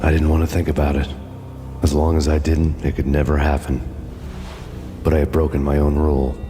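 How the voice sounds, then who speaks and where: Actor as a Brooding noir cop narrator, very deep, flat, bitter, score under him. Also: male voice, fairly quick. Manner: hard-boiled noir narration, dry and bitter. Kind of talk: scripted noir voice-over. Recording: with loud game music.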